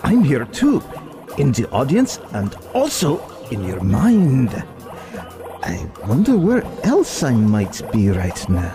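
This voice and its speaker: Man playing a slow, swooping whimsical teacher. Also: medium pitch, huge pitch swings, music in the background. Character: whimsical, dreamy male cosmic teacher. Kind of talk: sci-fi radio comedy acting.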